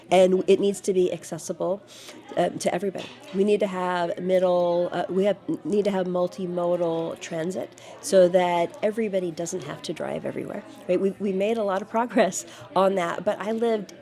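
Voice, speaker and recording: Earnest woman candidate, measured speech. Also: on the low side, gravelly, mellow. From United States, grew up in Georgia. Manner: earnest, frequent fillers and restarts. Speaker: city council candidate. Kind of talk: interview answers.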